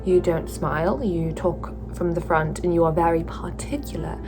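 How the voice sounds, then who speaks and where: A young woman with a Californian accent speaks in a lowish, tired voice. She takes her time, restarts her sentences and fills pauses with "um" and "like" as she reflects to the camera. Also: music in the background. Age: young adult. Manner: slow, thoughtful, with many fillers and restarts. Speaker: theatre student vlogger. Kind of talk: casual reflective talk to camera.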